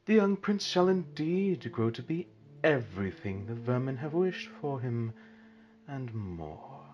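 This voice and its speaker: Slow, menacing evil sorcerer, wildly swooping. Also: male voice, medium pitch, very animated. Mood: mocking. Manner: theatrical, drawn-out, sneering delivery. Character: menacing, mocking, grandiose male evil sorcerer. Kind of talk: fan audio drama villain acting. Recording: dull, muffled recording with music behind.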